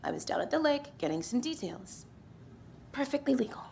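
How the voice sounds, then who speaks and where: A gravelly true-crime writer. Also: female voice, high voice, very animated, brisk, mellow, a little hiss or hum, heavily acted. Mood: defensive. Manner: sardonic, defensive.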